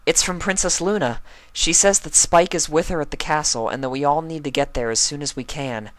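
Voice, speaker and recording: A young cartoon unicorn mare played by a man, raised well above a natural male pitch. She is earnest and take-charge, with a worried, pleading edge. The delivery is bright and emphatic. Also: fairly quick, no room sound, close to an everyday voice. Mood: anxious. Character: earnest, anxious young female unicorn pony, bossy but caring.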